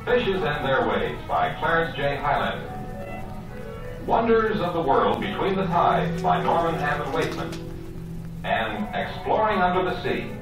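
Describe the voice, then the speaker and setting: Echoey man narrating a nature program, animated delivery. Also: a little high, measured pace, recorded from a distance, music in the background. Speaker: television program narrator and host. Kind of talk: scripted educational nature narration. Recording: very echoey archival television soundtrack.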